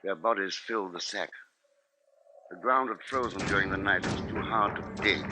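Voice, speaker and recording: A grave, low-voiced middle-aged family man played by a male actor telling a horror tale on a 1950s radio play. He speaks deliberately, with dramatic pauses, over prominent music. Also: close to an everyday voice. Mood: somber, ominous. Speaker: narrator and lead actor. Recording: vintage 1950s radio broadcast, music behind.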